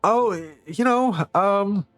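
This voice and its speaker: A man's voice: high voice, very slow, fairly bright tone, clear, resonant tone, soft background music, pitched above the performer's own voice, heavily acted. A man game master voicing a young woman student who answers her father politely but evasively.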